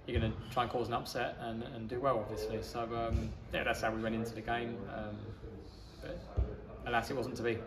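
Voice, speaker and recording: A man, a football manager giving a measured, matter-of-fact post-match interview. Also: medium pitch, fairly even intonation. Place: outdoors in a small football ground stand. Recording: echoey stand with crowd noise.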